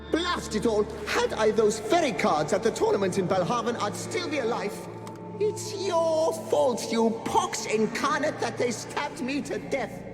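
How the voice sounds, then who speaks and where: A man's voice: very high voice, big pitch swings, a little slow, over music, heavily acted. An actor playing a bitter, sarcastic dead nobleman and gambler in a video game, exasperated and theatrical. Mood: exasperated, outraged. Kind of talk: video game dialogue acting. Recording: long hall reverb.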